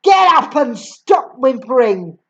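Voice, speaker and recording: A towering, tyrannical headmistress, as a woman puts her on, barking and snapping loud threats at small children. The voice sits high and the delivery drags slowly and heavily over every word. The recording sounds dull and lo-fi. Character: bullying huge female headmistress, shouting.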